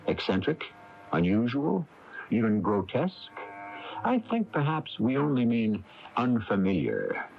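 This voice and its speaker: Musing narrator with sweeping intonation. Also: male voice, medium pitch, huge pitch swings, vocal fry, heavily acted. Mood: pensive. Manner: measured, rhetorical. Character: musing, philosophical narrator of a mystery tale. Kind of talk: scripted radio drama narration. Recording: dull, hissy AM radio tape.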